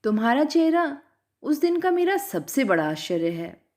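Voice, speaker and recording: A woman's voice: high voice, very animated, moderate pace, darkish tone. A woman voicing a poetic, smitten young male officer who speaks in flowery lover's lines, in her own reading voice. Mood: tender.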